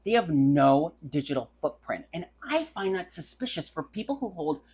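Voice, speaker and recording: A woman's voice: low-pitched, big pitch swings, moderate pace, a little mellow, slightly rough. A woman hosting a casual chat podcast, wry and gossipy, with a stammer that makes her restart words. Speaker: podcast host.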